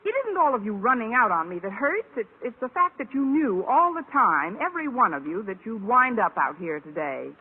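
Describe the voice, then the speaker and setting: A woman's voice: on the high side, very animated, a little fast, few pauses, slightly rough. Wry teacher lady from an old radio sitcom, very swoopy delivery, tinny sound. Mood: wry, aggrieved. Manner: dry, mock-dramatic. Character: wry, quick-witted schoolteacher woman. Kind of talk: 1940s-50s radio sitcom acting.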